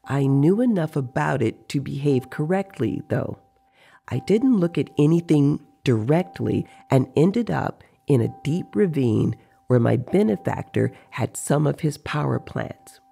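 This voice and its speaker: A man reading the part of an aging sorcerer who recounts his dream journeys in a measured, reflective way in an audio story. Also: fairly high pitch, expressive, gravelly, warm tone, husky, exaggerated voice. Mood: reflective, mysterious.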